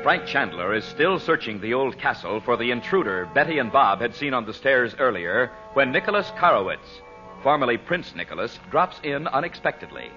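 A man's voice: medium pitch, sing-song, moderate pace, bright, forceful tone. Male American vintage radio announcer, theatrical, swooping delivery, dull recording, music behind. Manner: theatrical, emphatic salesmanship. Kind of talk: 1930s-40s old-time radio announcing.

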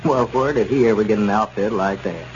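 On a slightly noisy, dull old radio recording, a man plays a fairly low-voiced store owner. He sounds like the level-headed, easygoing one in a country comedy, readily amused. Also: brisk, natural voice. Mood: impressed, amused. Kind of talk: 1930s radio comedy acting.

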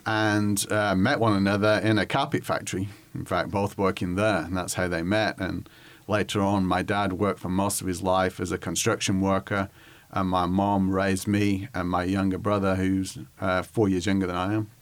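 A man in his forties with a Northern English accent, talking thoughtfully and haltingly as he recalls his background in an interview. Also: deep voice, little pitch movement. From northwest England, near Manchester. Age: 40s. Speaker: interview guest, history professor. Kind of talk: conversational interview, recollection.

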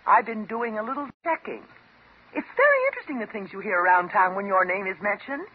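An actress playing a bitter, vengeful jilted patient with a mocking, sarcastic and dramatic manner in a 1950s radio drama. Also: female voice, medium pitch, very animated, fairly quick, breathy edge. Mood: sarcastic, accusing. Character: bitter, vengeful jilted woman patient holding doctor at gunpoint. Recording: dull old 1950s radio recording.

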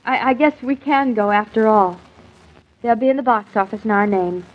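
A woman's voice: a little high, normal speed, lightly acted. An actress playing a naive, playful young wife in a 1940s radio drama, with a girlish, guileless way of talking. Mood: polite, surprised. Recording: dull, lo-fi 1940s radio broadcast.

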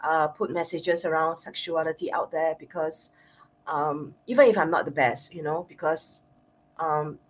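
A woman's voice: low-pitched, steady tone, moderate pace, a little hiss or hum. A woman with a Singaporean accent hosting an interview as a sexologist, speaking with frequent uhs and repeated words. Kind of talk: interview host.

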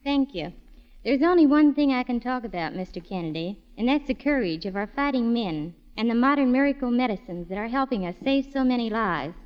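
A woman giving a scripted 1940s radio appeal as a guest navy nurse. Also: a little high. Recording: muffled old radio broadcast.

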